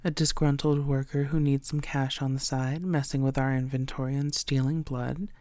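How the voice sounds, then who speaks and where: A woman playing a coy, secretive vampire who poses as a tired blood bank worker, speaking softly and playfully in an intimate audio roleplay. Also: a bass voice, little pitch movement, moderate pace, dry recording. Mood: musing, playful. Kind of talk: intimate scripted audio roleplay addressed to the listener.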